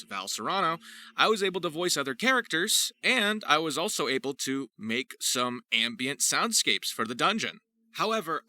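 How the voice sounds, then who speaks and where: A man's voice: mid-range voice, sharp tone. A man presenting his voice acting work in a scripted, theatrical narration with florid wording. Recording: faint ambient noise behind.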